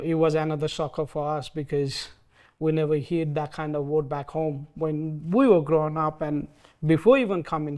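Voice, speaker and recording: A man in his thirties from Nepal with a Nepali accent, speaking hesitantly with many pauses in an oral history interview. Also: on the high side, smooth. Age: 30s. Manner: soft-spoken, hesitant, frequent ums.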